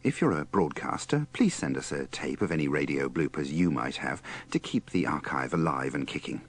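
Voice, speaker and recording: Very deep-voiced, husky man narrating. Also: lively intonation, normal speed. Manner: dry, tongue-in-cheek. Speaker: presenter of a blooper compilation. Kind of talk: scripted, wry narration.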